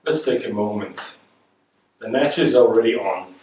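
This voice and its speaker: A man's voice: fairly low pitch, animated, moderate pace, a little mellow. Echoey, distant man with an Israeli accent. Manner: emphatic, rhetorical questions, builds points by repetition. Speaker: guest speaker. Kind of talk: reflective spoken lecture on history. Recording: echoey room, distant microphone.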